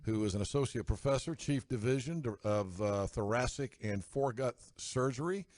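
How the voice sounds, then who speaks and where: A man's voice: medium pitch, normal speed, darkish tone. A man hosting a casual interview, friendly and informal, sometimes stumbling over words. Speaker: talk show host.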